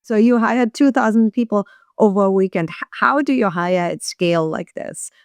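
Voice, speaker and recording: Woman podcast host, mid pitch, dry close sound, friendly direct questions. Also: moderate pace, darkish tone, dry recording. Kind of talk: interview questions.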